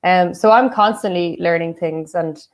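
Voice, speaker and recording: Low-voiced Irish woman, thoughtful. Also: a little slow. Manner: thoughtful, with many "um" and "like" fillers. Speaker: guest, writer and translator. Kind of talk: casual conversation.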